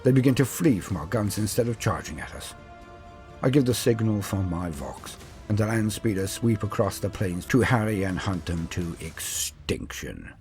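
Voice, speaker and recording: A man's voice: lowish voice, lively intonation, normal speed, a little mellow, faint music behind. A man narrating a scripted story as a host, in a theatrical, dramatic way. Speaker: narrator and host of a lore channel.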